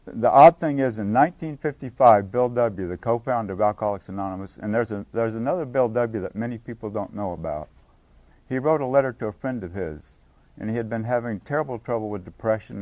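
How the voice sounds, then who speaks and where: An older man giving a casual workshop talk to a live group on a handheld mic, halting and often restarting his sentences. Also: lowish voice, darkish tone, no room sound. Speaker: workshop speaker at a recovery meeting. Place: meeting room with an audience. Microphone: handheld microphone.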